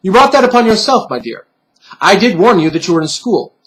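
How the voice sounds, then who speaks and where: A man's voice: sits high, very animated, brisk, a bit bright, voice pushed up, low-quality recording. A man voicing a stern, patronizing bunny pin who lectures in formal phrases in a storybook reading. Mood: solemn, admonishing.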